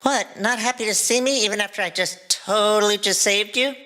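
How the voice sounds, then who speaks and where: A woman's voice: mid-range voice, fairly quick, pushed and bright, natural voice. A woman voicing a cocky, teasing teenage boy with smug, good-natured sarcasm in a fan fiction reading. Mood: smug, mocking.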